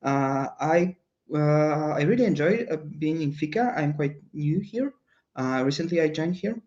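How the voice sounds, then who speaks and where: A man's voice: on the high side, animated, a little slow, a little mellow. A man from Poland, a consultant, introducing himself hesitantly on a video call. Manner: hesitant, filler-heavy. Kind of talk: casual self-introduction. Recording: dull video-call audio.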